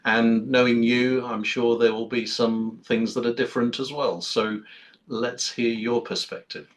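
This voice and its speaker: A man's voice: mid-range voice, flat delivery, measured pace. A man hosting a conversation on a video call, speaking plainly with some hesitant fillers. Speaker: host.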